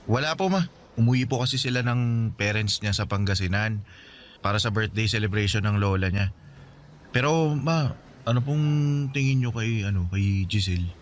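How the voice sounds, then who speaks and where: A man's voice: on the low side, normal speed, long pauses, a little hiss or hum, lightly acted. A man playing a respectful, smitten young adult son with a Filipino accent in a radio drama. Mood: polite, casual.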